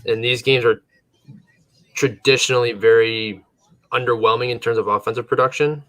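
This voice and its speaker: A man's voice: mid-range voice, steady tone, normal speed, fairly bright tone. A man co-hosting a casual sports podcast from his home computer setup, a little tired, rambling loosely with chuckles. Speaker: podcast co-host.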